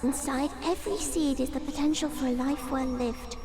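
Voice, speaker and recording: A woman's voice: sits high, steady tone, moderate pace, over music, close to an everyday voice. A woman playing a wise, formal seed spirit, speaking in a solemn, oracular way in an audio drama. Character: wise, solemn female magical seed spirit, ancient yet newborn. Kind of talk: fan audio drama acting.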